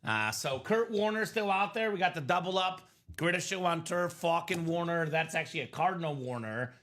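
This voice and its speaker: Man hosting a live card break, bright and a touch high, upbeat sales talk, voice slightly worn. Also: animated, fairly quick. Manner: upbeat, salesy running commentary. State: voice a bit worn from singing. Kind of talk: live stream selling chat.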